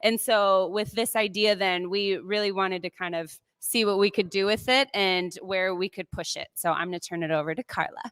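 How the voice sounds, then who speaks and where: Brief: woman, bright and smooth, dry close sound, playful storyteller. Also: mid-range voice, moderate pace, hardly any pauses. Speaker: team member presenting. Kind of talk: presentation on a live stream.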